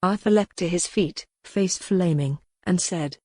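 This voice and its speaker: A woman reads a novel aloud in an even, measured way. Her voice sits fairly low with a dark, mellow timbre, and the close mic leaves a dry sound with no room. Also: dry recording. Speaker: audiobook narrator. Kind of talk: scripted novel narration.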